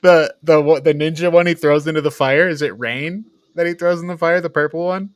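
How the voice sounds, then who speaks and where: A man's voice: fairly high pitch, fairly even intonation, brisk, no echo, clean studio sound. A man co-hosting a review show, chatting casually and conversationally. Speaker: podcast co-host.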